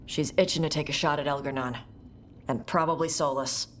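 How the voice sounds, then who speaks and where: A woman playing a blunt, brash young warrior with fire magic, talking gruffly and casually in a video game cutscene. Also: low voice, fairly even intonation, a little fast, slight background noise, lightly acted. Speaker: party companion.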